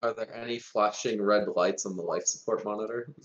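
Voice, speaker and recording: A man's voice: lowish voice, steady tone, brisk. A man playing a tabletop game, chatting casually over a dull, lo-fi connection. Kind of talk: casual chat during a game.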